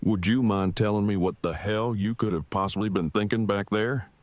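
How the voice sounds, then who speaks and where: A man's voice: lowish voice, moderate pace, natural voice. A man playing a stern, protective survivor in an audio drama, scolding in formal, wordy sentences. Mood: indignant, scolding. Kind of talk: full-cast fan audio drama acting.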